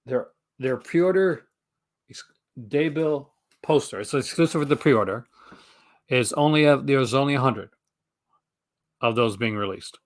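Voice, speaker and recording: A young man hosting a casual live stream, rambling with lots of fillers and restarts, his voice going hoarse. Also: medium pitch. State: losing his voice. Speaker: host.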